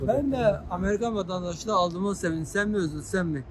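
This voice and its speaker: A man chatting casually with friends, thinking aloud in a relaxed way. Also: high-pitched, a little fast, a little hiss or hum. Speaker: friend of the vlogger.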